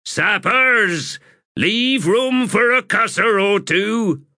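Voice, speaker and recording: A man voicing a bossy, irritable army corporal in an audiobook, barking rough soldierly talk. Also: mid-range voice, sing-song, extremely slow, sharp tone, no room sound, clean studio sound, voice pushed up, exaggerated voice. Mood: commanding.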